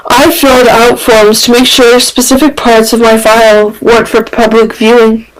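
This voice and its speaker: A woman voicing a guarded, world-weary young man with a flat, resigned delivery in a fan fiction reading. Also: a little high, normal speed, close to an everyday voice, rough recording. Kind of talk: fan fiction character acting.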